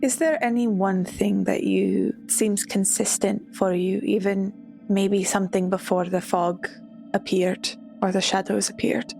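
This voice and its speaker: A woman's voice: mid-range voice, steady tone, unhurried, gravelly, darkish tone, faint music behind. A woman playing a tabletop roleplaying game, improvising narration and dialogue in careful, hesitant phrases.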